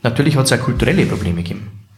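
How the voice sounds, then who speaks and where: A man's voice: medium pitch, expressive, racing. A middle-aged man with a Bavarian accent, a guest in an interview, earnest and reflective, telling anecdotes. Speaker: managing director of a care home, guest. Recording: echoey room.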